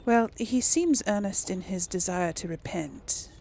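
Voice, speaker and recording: A woman's voice: medium pitch, lively intonation, a little slow, dark tone. A woman hosting a gaming video, role-playing casually and musing aloud in a hesitant way. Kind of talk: casual role-play reactions over a game.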